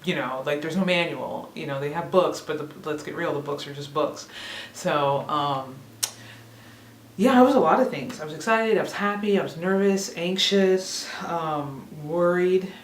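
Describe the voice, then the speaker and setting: A woman's voice: low-pitched, moderate pace, distant mic. A woman in her thirties talks casually and rambles, often laughing at herself. She sits a little way from the mic in an echoey room. A faint hiss runs under her voice. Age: 30s. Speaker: vlogger. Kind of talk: casual chat to camera.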